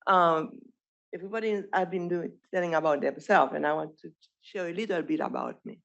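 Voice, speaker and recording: A middle-aged physician from Haiti with a Haitian accent, giving an informal, halting presentation full of restarts. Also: mid-range voice, gravelly, fairly bright tone, muffled recording. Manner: halting, with frequent restarts and self-corrections. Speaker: physician presenting a residency program. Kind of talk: informal presentation at an online showcase.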